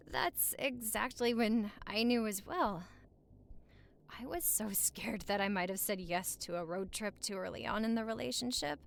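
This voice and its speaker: A woman playing a high-voiced, playful newlywed wife. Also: vocal fry. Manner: bantering, teasing, sweet-talking. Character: affectionate, playful, teasing young newlywed wife. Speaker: audio roleplay performer. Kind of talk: cozy romantic audio roleplay, conversational.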